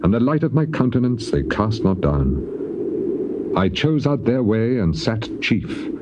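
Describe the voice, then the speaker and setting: A grieving, dignified old patriarch in a dramatized scripture recording. His low voice declaims in measured poetic phrases that rise and fall widely. The sound is an old cassette, thick with noise. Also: sing-song. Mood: wistful, proud. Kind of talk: dramatized scripture reading, theatrical classical acting. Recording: dull, very noisy old cassette tape.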